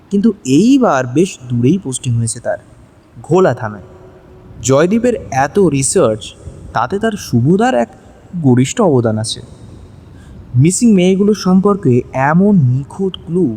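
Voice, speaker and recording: Man, scripted story narrator, highish mellow voice, faint ambience behind. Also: a little hiss or hum.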